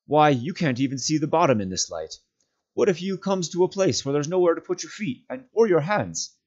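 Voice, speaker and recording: A man's voice: fairly high pitch, animated, brisk, darkish tone, highs cut off, voice pushed up. A man voicing a loyal, gloomy hobbit servant with a plain-spoken, folksy, grumbling manner in a storybook reading. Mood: worried.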